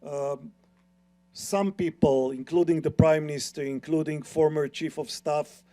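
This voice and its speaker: A man's voice: fairly high pitch, fairly even intonation, a little slow, dark tone. A middle-aged man with an Israeli accent, explaining his view earnestly and emphatically as a panelist. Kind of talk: panel discussion.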